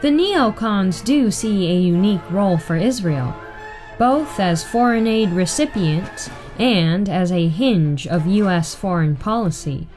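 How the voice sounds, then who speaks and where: A woman reading a written political article aloud in a measured, deliberate way. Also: mid-range voice, expressive, a little mellow, faint music behind. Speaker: reader.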